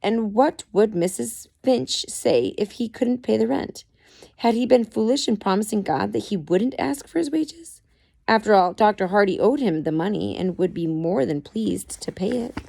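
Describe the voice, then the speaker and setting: A woman's voice: medium pitch, gravelly, warm tone. A woman narrating a story aloud from a book, reading it expressively. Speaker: amateur audiobook reader.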